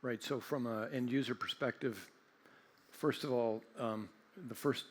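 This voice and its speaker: A man speaking as a panelist, deliberate, with frequent ums as he explains. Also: mid-range voice, fairly even intonation. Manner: deliberate, with fillers. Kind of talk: panel discussion.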